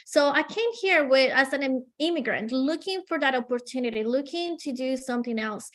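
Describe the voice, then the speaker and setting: A woman from Colombia with a Colombian Spanish accent, Spanish her first language, telling her story as an interview guest in an energetic, earnest way with frequent fillers. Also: high voice, normal speed.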